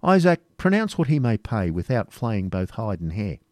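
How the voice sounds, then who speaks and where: A man plays a storybook outlaw captain who is used to command. He speaks gravely with a cool, wry edge and varied pitch, recorded close and dry. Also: sing-song, moderate pace, no room sound.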